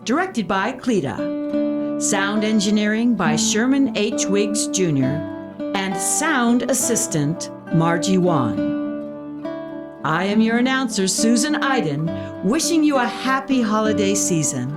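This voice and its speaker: A woman's voice: medium pitch, animated, slow. A woman announcer reading scripted closing credits for a radio play, with guitar music behind her. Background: prominent plucked guitar music.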